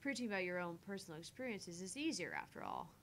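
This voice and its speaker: A woman's voice: sing-song, fast, fairly bright tone. A woman co-hosting a livestream, talking casually in a frank, self-deprecating way. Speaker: livestream co-host of a gaming channel. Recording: noisy mic with low rumble.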